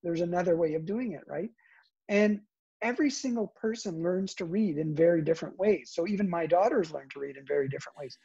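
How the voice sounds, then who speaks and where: A native Italian speaker gives interview answers in a gravelly, husky voice with a warm, mellow tone, thinking aloud with plenty of fillers. The sound is dull and lo-fi, like a remote line. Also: mid-range voice, fairly quick. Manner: thoughtful, hesitant, many fillers and "right?" tags. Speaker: homeschooling organization representative.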